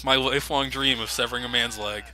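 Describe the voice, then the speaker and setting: A man's voice: medium pitch, fairly even intonation, quick pace, a crowd in the background, poor audio. A man giving casual, sarcastic commentary over a video game, joking about its flaws. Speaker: let's play commentator.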